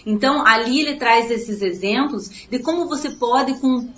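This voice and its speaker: Woman, author in an interview, earnest motivational talk, bit high voice, roomy echo. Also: moderate pace, a little hiss or hum. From Paraná, Brazil. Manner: earnest, motivational, repeats phrases. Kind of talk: interview answers. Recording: echoey room.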